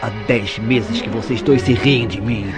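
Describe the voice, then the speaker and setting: A man's voice: mid-range voice, measured pace, natural voice. A man with a Brazilian accent playing a jealous, suspicious husband in a radio soap opera, insistent and accusatory in delivery. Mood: bitter, furious. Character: jealous, suspicious husband interrogating his wife. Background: music behind the voice.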